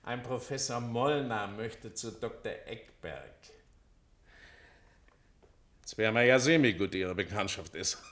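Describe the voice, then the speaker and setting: An actor playing a gruff, bossy gatekeeper with grim humour and a curt, officious manner in a radio play. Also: male voice, on the low side, normal speed, fairly bright tone, lightly acted. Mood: officious, sardonic. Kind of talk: German radio play acting.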